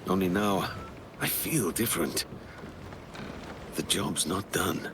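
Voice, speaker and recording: A man acting a battle-worn, stoic pirate captain in a video game, with a terse and low-key way of talking. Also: takes it slowly. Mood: resolute. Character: gruff, battle-worn adult male pirate captain turned assassin. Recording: loud ambient noise behind the voice.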